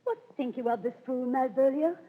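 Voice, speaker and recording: A woman's voice: sits high, moderate pace. An actress playing a dignified young noblewoman with dry wit in 1930s radio Shakespeare style. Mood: imperious. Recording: muffled 1930s radio, long reverb.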